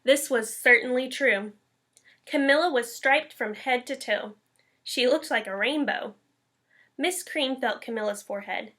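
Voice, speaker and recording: A woman's voice: high-pitched, a little slow, fairly bright tone. A woman teacher reading a children's picture book aloud with expression.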